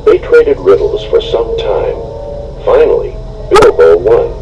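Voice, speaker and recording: Very animated man narrating, noisy recording. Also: fairly low pitch, slow pace, dull audio. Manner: expressive, dramatic storytelling. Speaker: storybook narrator. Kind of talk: scripted storybook read-along narration. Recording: very noisy home webcam recording.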